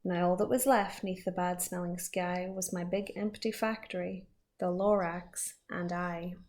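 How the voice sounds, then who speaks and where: A woman teacher reading a picture book aloud to children, lively and expressive. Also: fairly low pitch, a little slow. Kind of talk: storybook read-aloud.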